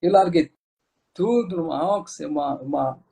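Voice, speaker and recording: A man's voice: a little high, moderate pace, gravelly, mellow, husky. A Moroccan man speaking with a Moroccan accent, an interview guest talking casually with many fillers and restarts.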